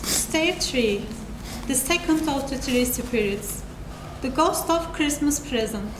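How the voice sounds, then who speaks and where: A woman's voice: sits high, lively intonation, takes it slowly. A woman narrator giving a dramatic scripted reading of a classic story at a live event. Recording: echoey room, noisy live recording.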